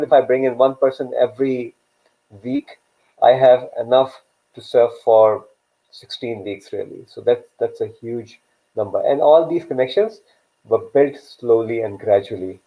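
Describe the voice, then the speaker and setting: A man whose first language is Urdu hosts a live online chat, circling back and repeating words as he works out his point. His voice sits at an ordinary middle pitch. The sound comes through a narrow, tinny line, as if over a phone. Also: moderate pace. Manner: rambling, repeats words while thinking. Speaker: host. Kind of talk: live online talk show.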